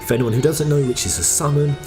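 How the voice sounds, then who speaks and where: An emphatic, informal host giving excited fan theory commentary on a video game. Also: medium pitch, quick pace, soft background music.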